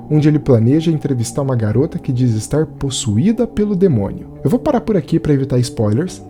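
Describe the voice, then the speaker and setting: Brazilian guy narrating a video essay, super dark soft-edged voice, music under it. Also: a little high. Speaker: video essayist and film reviewer. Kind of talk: conversational scripted narration.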